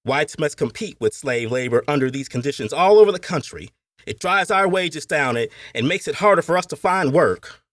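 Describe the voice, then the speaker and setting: A man's voice: a little high, expressive, moderate pace, fairly bright tone, no echo, clean studio sound, voice pushed up. A man voicing a heated Northern laborer speaking fiery and declaiming.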